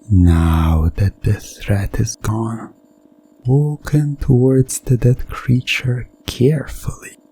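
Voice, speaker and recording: Slow, mellow man narrating hypnosis ASMR. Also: on the low side. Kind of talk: slow hypnosis ASMR storytelling in second person.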